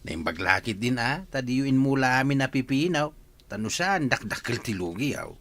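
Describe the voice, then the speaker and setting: A man's voice: medium pitch, animated, normal speed, fairly bright tone, close to an everyday voice. An actor playing a patient, devout, burdened village father in an audio drama. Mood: concerned. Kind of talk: acted radio-drama dialogue.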